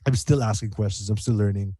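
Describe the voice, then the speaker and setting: A man chatting casually as an interview guest, telling stories in an animated way and repeating phrases as he goes. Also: on the low side, fast talker, slightly rough, no echo, clean studio sound. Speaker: guest musician.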